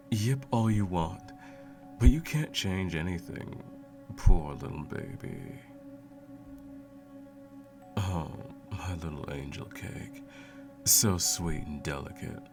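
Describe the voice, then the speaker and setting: A man playing a sadistic sorcerer in an ASMR roleplay, cooing mockingly and condescendingly at a captive pet in a low gravelly murmur. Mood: gloating affection. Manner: teasing, cooing, condescending, theatrical.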